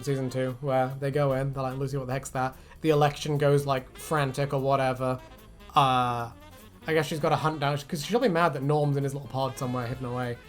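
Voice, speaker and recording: Joking man chatting over music. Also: mid-range voice. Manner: joking, rambling, crude humour. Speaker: host. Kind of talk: casual chat.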